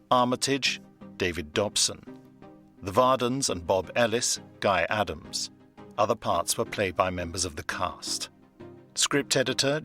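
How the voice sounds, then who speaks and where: A man reading end credits in a measured, formal announcer manner. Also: on the low side, a bit bright.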